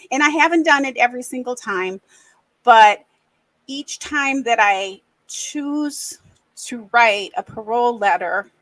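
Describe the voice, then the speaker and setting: A middle-aged woman from the American Midwest, hosting a podcast and speaking candidly and reflectively, often pausing and restarting. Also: on the high side, fairly bright tone, dry recording, poor audio. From Racine, Wisconsin, USA. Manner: earnest, reflective, halting. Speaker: podcast host. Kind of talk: candid personal conversation.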